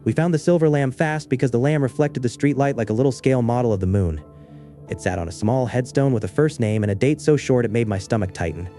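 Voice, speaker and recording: A man narrating a scripted first-person horror story in a measured, understated way. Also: medium pitch, warm tone, faint music behind.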